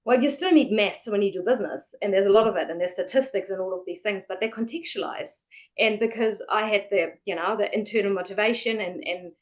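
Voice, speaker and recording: A woman talks as a guest in a relaxed interview. She is chatty and loose, with many fillers and restarts. Her voice sits at a middle pitch. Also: brisk. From Germany. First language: German. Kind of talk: casual interview.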